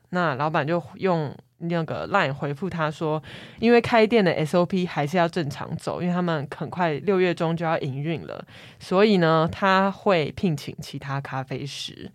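A woman's voice: deep voice, no room sound, clean studio sound. A woman with a Taiwanese Mandarin accent co-hosting a podcast, chatting casually and retelling stories. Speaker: podcast co-host. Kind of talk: casual chat and retelling online workplace stories.

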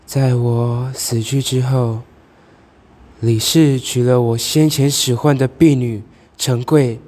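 A man's voice: medium pitch, steady tone, slow, darkish tone. A teenage male student playing a commanding, grieving ghost of a noble wife in an amateur radio drama, speaking formally. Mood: sorrowful.

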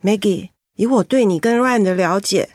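A woman's voice: mid-range voice, moderate pace, a little mellow, no echo, clean studio sound, close to an everyday voice. An actress playing a sensible, motherly senior colleague in a Mandarin radio drama, scolding yet caring, with a Taiwanese accent. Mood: calming, firm.

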